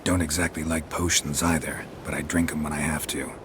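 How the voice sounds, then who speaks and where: A man playing a gruff, stoic monster hunter in a video game, with terse, deadpan delivery and a gravelly voice. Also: a bass voice, brisk. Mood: dry, subdued. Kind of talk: video game cutscene acting. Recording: loud music under the voice.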